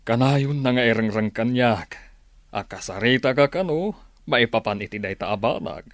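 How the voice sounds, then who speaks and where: Man acting a measured, authoritative father and boss. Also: mid-range voice, animated, no room sound, studio quality, lightly acted. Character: indulgent middle-aged father and company boss, measured and authoritative. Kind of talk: Ilocano radio drama acting.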